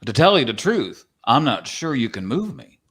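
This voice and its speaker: A man playing a folksy, gentlemanly old cowboy in a dramatic play reading. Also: mid-range voice, very animated, normal speed, husky, full character voice. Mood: folksy, wry. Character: folksy, polite old cowboy figure living on a wall.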